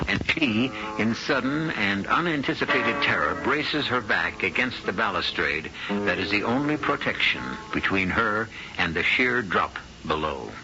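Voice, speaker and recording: A man narrating a 1970s radio drama in a measured, dramatic way. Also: fairly low pitch. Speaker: narrator and host. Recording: dull old radio broadcast with music.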